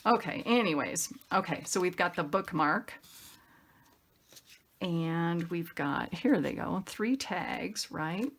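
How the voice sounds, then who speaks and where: A woman's voice: fairly low pitch, expressive, slow, gravelly, a bit bright. A woman hosting a craft tutorial in a casual, chatty style, upbeat and effusive, thinking aloud as she works.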